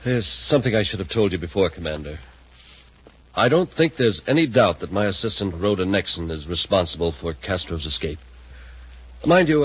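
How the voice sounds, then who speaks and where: Raspy, agitated radio scientist. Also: male voice, fairly low pitch. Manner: insistent, agitated. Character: strained, suspicious male scientist turning threatening. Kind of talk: 1950s radio adventure acting. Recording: muffled 1950s radio recording.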